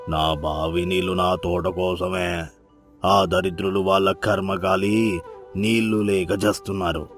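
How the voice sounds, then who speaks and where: A man plays a domineering village landlord with a very low voice. He is imperious and menacing, scolding those beneath him in a moral-story cartoon, with music underneath. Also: fairly bright tone. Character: harsh, haughty male landlord obsessed with his garden.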